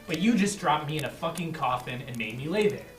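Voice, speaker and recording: A man's voice: on the high side, animated, fairly quick, faint music behind, lightly acted. A man acting an aggrieved, sweary comic actor full of hurt and bitterness at a comedy table read. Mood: angry, wounded. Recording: echoey room, distant mic.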